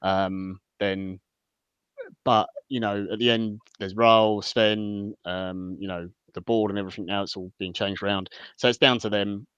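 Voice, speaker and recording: A lower-pitched man hosting a live football podcast chat. He rambles and jokes, circling back on himself as he goes. The sound is a little dull and lo-fi, with a dry, close feel. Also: steady tone, unhurried, fairly bright tone, smooth, dry recording. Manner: rambling and jokey, many restarts. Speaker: podcast host.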